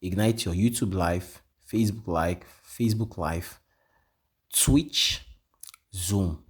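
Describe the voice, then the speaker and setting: A man with a very low, slightly husky voice talks viewers through a software demo. He repeats himself often and checks in with "okay?" after nearly every point, filling pauses with "um.". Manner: repetitive, frequent "okay?" and "um" fillers. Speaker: tutorial presenter. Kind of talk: screen-share software walkthrough.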